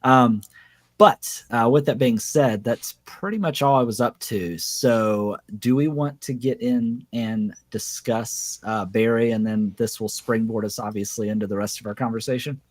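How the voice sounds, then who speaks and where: A man co-hosting a casual podcast chat, informal and hesitant, with a slightly raspy voice from being under the weather. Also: mid-range voice, measured pace, no room sound. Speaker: podcast co-host.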